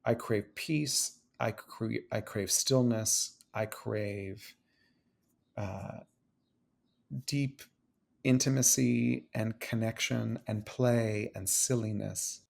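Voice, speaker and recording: A man who is a guest on an interview show, talking casually, animated, restarting and repeating phrases. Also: on the low side, extremely slow, gravelly. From Cuban-American. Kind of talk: casual conversation. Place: New York City.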